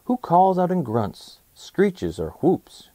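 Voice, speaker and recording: Animated man reading aloud to children. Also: fairly high pitch, slow pace, no echo. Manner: animated, clearly enunciated storytelling for young listeners. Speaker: read-aloud host for children. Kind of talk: expressive read-aloud of a children's nonfiction book.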